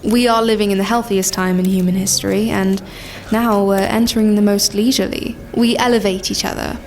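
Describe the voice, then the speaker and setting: An actress playing a fervent, idealistic pundit in an audio drama, speaking with earnest assurance. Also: female voice, mid-range voice, steady tone, brisk, a little mellow, lightly acted. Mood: fervent, assured. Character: fervent, idealistic female pundit praising a new order. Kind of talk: scripted discussion in audio drama. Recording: clear background noise.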